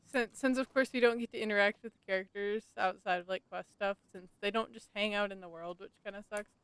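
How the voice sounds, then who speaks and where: A woman's voice: medium pitch, steady tone, brisk, fairly bright tone, smooth, no echo. A woman chatting casually and enthusiastically as she streams a game. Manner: gushing, enthusiastic. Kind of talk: casual livestream gaming chat. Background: game music.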